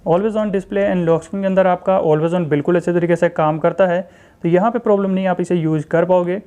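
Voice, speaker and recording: A man's voice: sits high, steady tone, normal speed, mellow, clean tone. A man with an Indian accent giving a casual tech walkthrough, explaining things to viewers directly and repetitively. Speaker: tech channel host reviewing phone software. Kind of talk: casual screen-recording walkthrough commentary.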